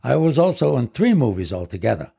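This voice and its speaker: Older man in his 70s, guest storyteller, gravelly husky voice, rambling. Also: medium pitch, very animated, brisk, dark tone. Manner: rambling, leans on "basically". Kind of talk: casual storytelling.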